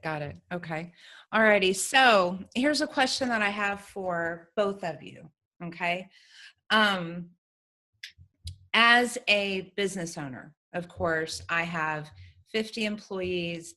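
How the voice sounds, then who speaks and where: A woman's voice: fairly low pitch, takes it slowly, sharp tone. A woman hosting a conversational business interview, asking questions of her guests. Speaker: host.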